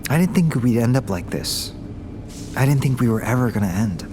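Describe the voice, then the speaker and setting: A man's voice: mid-range voice, lively intonation, a little fast, background noise, natural voice. An actor playing a wistful young man getting over a breakup, in an audio drama. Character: heartbroken young man missing his ex.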